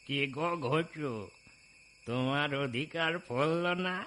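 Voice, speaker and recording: A man's voice: on the high side, takes it slowly, a bit bright, slight background noise. A man voicing a young cartoon guard dog, content and smug, with a nonchalant, teasing manner. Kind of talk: scripted cartoon voice acting.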